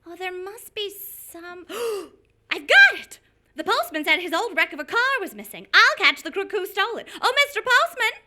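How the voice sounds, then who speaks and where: A woman's voice: extremely high pitch, sing-song, normal speed, pushed and bright, slight background noise, exaggerated voice. A woman playing a scatterbrained, eager wife who fancies herself a detective, in live old-time radio comedy style. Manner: eager, wide-eyed, dithery.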